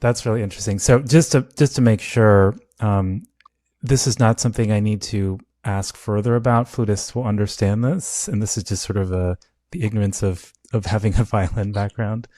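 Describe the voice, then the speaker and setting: A man's voice: fairly low pitch, steady tone, moderate pace, warm tone, dry recording, studio quality. A man asking thoughtful, tentative questions as the interviewer on a podcast.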